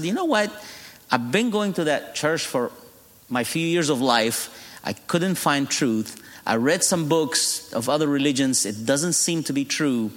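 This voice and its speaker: A man's voice: mid-range voice, a bit bright, big-room sound. A middle-aged man from Egypt with an Egyptian accent, whose first language is Arabic, giving a humorous testimony from the pulpit as a guest preacher. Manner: humorous storytelling, laughing at his own jokes. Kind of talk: sermon testimony. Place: church.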